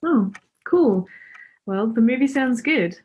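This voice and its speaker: Woman as Laura, chatty, slightly husky and cheeky. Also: on the high side, lively intonation, slow pace, darkish tone, muffled recording, full character voice. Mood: cheeky, eager. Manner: informal, rambling, forthright. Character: Laura, chatty, cheeky, friendly young woman befriending Death. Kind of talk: radio play acting.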